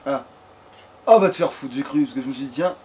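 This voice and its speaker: A man's voice: mid-range voice, fairly quick, darkish tone, a little hiss or hum. A man giving casual, rambling let's play commentary peppered with swearing. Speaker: let's player.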